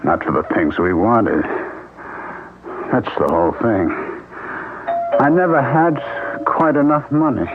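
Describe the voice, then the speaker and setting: A man's voice: medium pitch, a little slow, long pauses, close to an everyday voice. An actor playing a desperate, sick man who begs and pleads in a frantic, breaking voice, in a 1970s radio drama. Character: desperate sick man begging, a confessed killer. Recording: noisy, tinny old radio broadcast.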